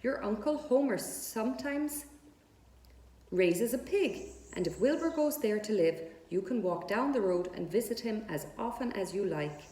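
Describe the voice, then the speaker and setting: A woman's voice: medium pitch, lively intonation, measured pace, mellow, roomy sound, lightly acted. A woman reading a storybook part as a kindly, practical farmer's wife, helpful in manner. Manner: helpful, suggesting.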